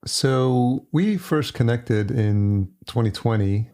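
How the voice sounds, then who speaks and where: A man's voice: medium pitch, animated, takes it slowly, warm tone. A man hosting a podcast, who chats in a friendly way and laughs easily as he introduces guests. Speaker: podcast host.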